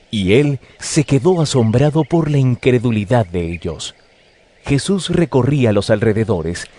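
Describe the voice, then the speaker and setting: Animated male scripture narrator. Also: mid-range voice. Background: music.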